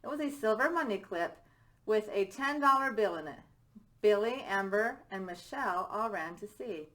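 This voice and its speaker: A woman's voice: medium pitch, expressive, normal speed, a bit bright. A woman teacher reading a children's story aloud as a narrator.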